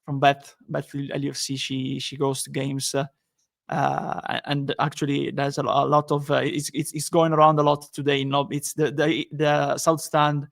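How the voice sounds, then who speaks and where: Flat-toned man hosting a podcast. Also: a little high, vocal fry. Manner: halting, many restarts, upbeat. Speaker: podcast host. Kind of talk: casual football podcast chat.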